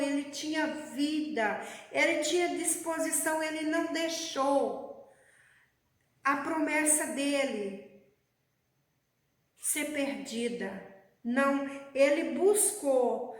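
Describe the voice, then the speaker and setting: A woman preaching a sermon in a church, speaking slowly and calling the congregation beloved. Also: high-pitched. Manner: slow, measured preaching, addressing the congregation as beloved. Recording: echoey church hall.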